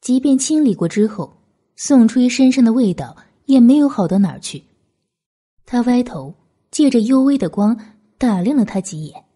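A woman's voice: a little high, expressive, moderate pace, dark tone, slightly rough. A woman reading scripted novel narration as an audiobook narrator.

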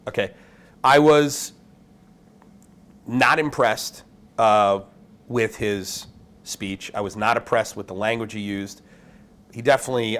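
Blunt, emphatic man. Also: medium pitch. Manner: emphatic, blunt, opinionated, often checks in with "okay?". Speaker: industry video host. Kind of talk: off-the-cuff event recap to camera. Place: small indoor room with curtains.

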